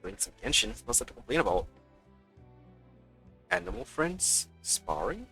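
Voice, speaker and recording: A man's voice: mid-range voice, sing-song, normal speed, creaky, bright, forceful tone. A man chatting casually as he live streams a game and greets viewers. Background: game music.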